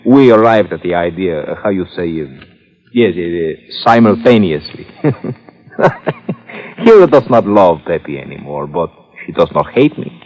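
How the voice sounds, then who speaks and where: Low-voiced, sly chauffeur. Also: male voice, sing-song, darkish tone, full character voice. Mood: genial, wistful. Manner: chuckling, halting, foreign phrasing. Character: genial, sly, fat South American ex-tennis champion chauffeur. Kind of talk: old-time radio detective drama acting. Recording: muffled old-time radio recording.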